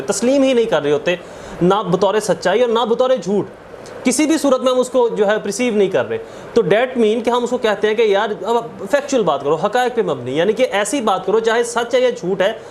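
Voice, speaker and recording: A man's voice: high-pitched, slight background noise. A man with a Pakistani accent giving an explanatory law lecture, didactic, listing points and repeating key phrases. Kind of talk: explanatory lecture mixing Urdu with English legal terms.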